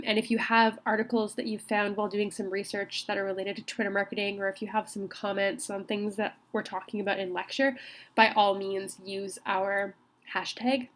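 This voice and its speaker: A woman with a slight cold walks her students through a recorded college lecture. She talks in a friendly, conversational way, often pausing on ums and starting her sentences over. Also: mid-range voice. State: has a cold. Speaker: college instructor.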